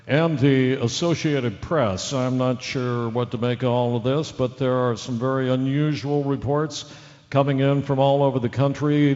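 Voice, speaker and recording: Man acting as halting radio newsman, heavy reverb. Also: mid-range voice, a little mellow, natural voice. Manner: halting, careful, apologetic. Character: male radio newsman, earnest, hesitant, increasingly rattled. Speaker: news reader. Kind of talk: live breaking-news radio acting. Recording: dull lo-fi audio with long reverb.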